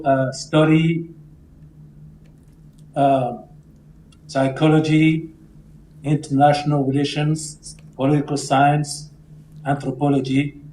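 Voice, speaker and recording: A man in his sixties from Cameroon, a native French speaker with a Francophone Cameroonian accent, giving earnest, deliberate political commentary as a guest. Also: fairly high pitch, warm tone. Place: indoor room on a video call. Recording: echoey room, noisy video call.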